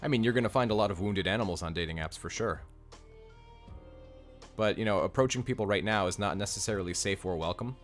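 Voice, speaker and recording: A man with a deep voice hosting a live stream, reading comments and giving blunt, direct relationship advice. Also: fast, faint music behind. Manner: blunt, direct advice, repeats key points.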